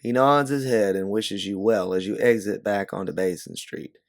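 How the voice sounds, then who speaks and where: A man's voice: lowish voice, normal speed. A man narrating a scripted story in the second person, as its author and storyteller.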